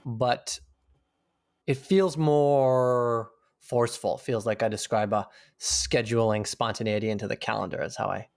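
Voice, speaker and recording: Guy in a podcast interview, thinking out loud, swears a bit, dry close sound. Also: medium pitch, steady tone, unhurried, creaky, no room sound. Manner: thoughtful, searching, casually profane. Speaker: interview guest. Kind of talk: reflective conversational interview.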